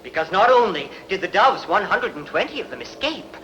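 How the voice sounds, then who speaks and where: A man's voice: high voice, sing-song, a little fast, bright, forceful tone, heavily acted. A man dubbing a cowardly, wheedling ancient dove trader with a pleading, exaggerated delivery. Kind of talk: comic dramatic film dubbing. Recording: noisy background ambience.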